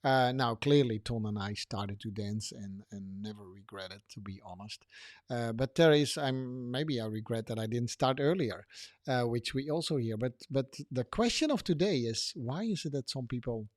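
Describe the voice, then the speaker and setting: A man's voice: medium pitch, moderate pace, no echo. Dutch man hosting, close dry sound. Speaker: podcast host. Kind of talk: casual podcast chat.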